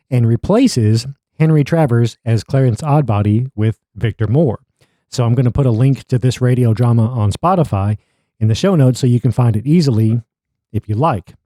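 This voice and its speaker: A man's voice: medium pitch, mellow, dry recording. A man hosting a podcast, narrating a story in a conversational but prepared way. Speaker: podcast host.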